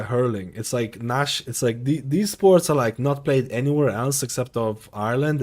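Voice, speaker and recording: A man's voice: mid-range voice, darkish tone. A man in his thirties from Lithuania, a streamer chatting casually with his viewers, rambling and repeating himself with lots of fillers. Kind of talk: casual live-stream chat. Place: home streaming room.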